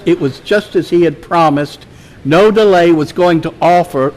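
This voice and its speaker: A man reading a written tribute aloud at a meeting in a measured, formal way. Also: a little high. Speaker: club member presenting an award and reading a tribute.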